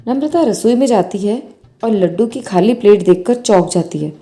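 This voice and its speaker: A woman's voice: medium pitch, expressive, normal speed, mellow. A woman storyteller reading a scripted bedtime moral story. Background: faint music.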